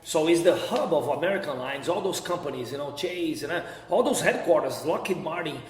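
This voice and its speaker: Male interview guest, Brazilian accent, slightly high voice, conversational, roomy echo. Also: expressive, brisk, slight background noise. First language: Portuguese. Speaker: interview guest, golf center business owner. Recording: echoey, reverberant room.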